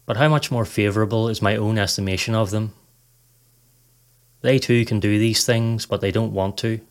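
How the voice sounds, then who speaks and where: Low-voiced man guiding a meditation. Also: fairly even intonation. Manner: measured, instructional, reading aloud. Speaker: podcast host and meditation guide. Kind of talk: scripted guided meditation narration.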